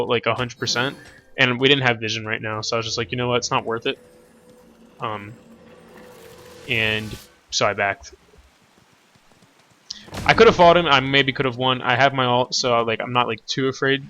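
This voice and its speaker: Bright-voiced young man with a stuffy nose. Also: medium pitch, a little hiss or hum. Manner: relaxed, rambling, many fillers and self-corrections. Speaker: gameplay commentator. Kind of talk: casual gameplay commentary.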